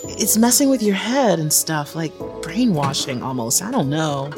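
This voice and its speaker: An actress playing a caring, candid young girlfriend in an audio drama, casual and expressive. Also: low-pitched, moderate pace. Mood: concerned. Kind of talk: naturalistic audio drama acting. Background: music.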